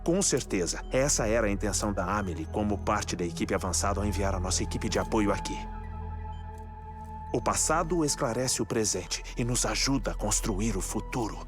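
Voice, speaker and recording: A man with a Brazilian accent acting a grieving, eccentric scholarly scientist in a dubbed video game, telling things in a measured, reflective way. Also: fairly low pitch, lively intonation, over music, close to an everyday voice. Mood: earnest, explanatory.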